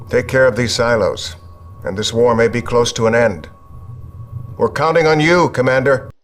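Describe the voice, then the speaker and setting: An actor playing a composed American president, measured and official, in a game cutscene. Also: male voice, medium pitch, natural voice. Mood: solemn. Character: statesmanlike middle-aged American president, composed. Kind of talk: video game cutscene acting. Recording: music behind the voice.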